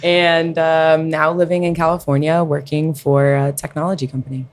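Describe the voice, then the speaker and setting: A woman's voice: deep voice, level tone, moderate pace. A woman in her twenties, raised in Colombia and New Jersey with Spanish as her first language, chatting informally as a podcast guest. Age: 20s. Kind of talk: casual chat, personal storytelling.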